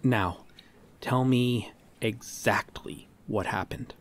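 A man playing an earnest, guarded police detective in an ASMR audio drama, speaking haltingly with long pauses. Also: medium pitch, lightly acted. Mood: grave, methodical. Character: earnest, guarded male police detective questioning someone he cares for. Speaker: voice actor in an audio roleplay. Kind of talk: ASMR roleplay drama, acted one-sided dialogue.

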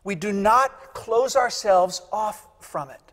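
A man's voice: sits high, lively intonation, slow pace, a bit bright. A man in his fifties, a pastor giving a sermon in a church sanctuary, emphatic and repeating key phrases for weight. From United States. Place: large church sanctuary, indoors. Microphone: lapel mic. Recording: long church reverb.

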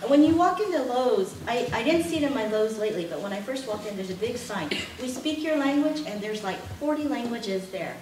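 A middle-aged woman giving a lively workshop talk, full of anecdotes and laughter, moving about as she speaks in a classroom. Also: on the high side, a little fast, few pauses. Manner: animated, gesturing, laughing often. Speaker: workshop presenter. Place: classroom with projector screen. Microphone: far camera, no visible mic. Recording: echoey room from far off, noisy.

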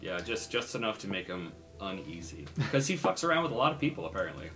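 A man's voice: low voice, sing-song, fast, a bit bright. A man playing a tabletop game, casually describing what his character will do.

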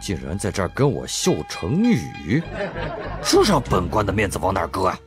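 An actor playing a pompous, silly yet upright old-time Chinese magistrate in a comedy radio drama, with theatrical, grandiose exclamations. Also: male voice, low-pitched, wildly swooping pitch, normal speed, over music. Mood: miffed.

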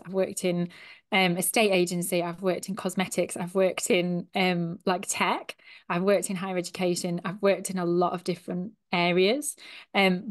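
A woman in her thirties from Sheffield with a Yorkshire accent, chatting animatedly as a guest on a video call from a small home office. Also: on the low side. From Sheffield, South Yorkshire, England. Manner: chatty, animated, gestures with her hands as she talks. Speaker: guest, human design coach. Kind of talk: casual interview chat. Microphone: headphones on a video call.

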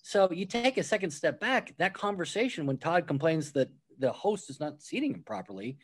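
A man's voice: a little high, sing-song, moderate pace. A man who wrote a book on restaurant management, talking it through as a podcast guest and walking through examples step by step. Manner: explains with worked examples, acts out quoted replies. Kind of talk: conversational podcast interview.

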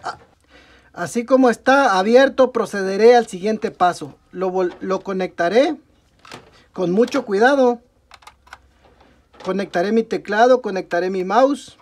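A man's voice: high-pitched, measured pace, pauses often. Young Mexican man, tech tutorial host, narrates hands-on steps with self-corrections, bench mic sound. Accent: Mexican Spanish. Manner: talks through each step while working, frequent "ok, amigos" and self-corrections. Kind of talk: step-by-step repair tutorial. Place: indoor workbench at a desk.

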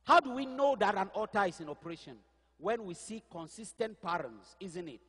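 High-voiced preacher on a church stage. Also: male voice, fairly quick, husky, long reverb tail. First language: not English. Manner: animated, gesturing preacher who searches aloud for words. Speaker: pastor. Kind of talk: sermon. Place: stage of a large church hall. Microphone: headset mic.

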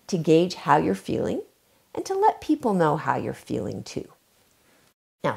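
A middle-aged woman speaks to children in a low, gravelly voice that swoops up and down with lively expression. She stretches key words out like a storytime reader while showing a craft. She sits in a home library, recorded by a camera set a little way off. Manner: warm storytime delivery, drawn-out emphasis. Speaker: children's book author. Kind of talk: reading aloud and craft demo for kids. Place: home library, seated in armchair before bookshelves.

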